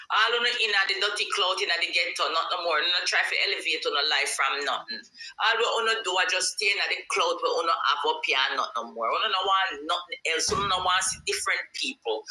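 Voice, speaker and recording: A woman's voice: medium pitch, sharp tone, reverberant, rough recording. A woman with a Jamaican accent and Patois as her native tongue, delivering an informal, confrontational online rant with sassy, emphatic repetition. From Kingston, Jamaica. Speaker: online vlogger and podcaster. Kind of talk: informal direct-to-camera rant.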